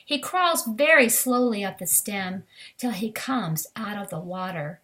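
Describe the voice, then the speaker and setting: A woman's voice: mid-range voice, animated, a little slow, a bit bright. A woman reading a children's science book aloud, addressing young listeners directly in an instructive way, with brief asides of her own. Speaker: reader of a children's nature book.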